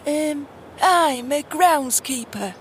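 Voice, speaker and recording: A woman's voice: high-pitched, animated, slow, slight background noise. A woman playing a sweet, humble groundskeeper in a comedic fantasy audio drama, chirpy and mild. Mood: eager, humble. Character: sweet, humble female groundskeeper made heir.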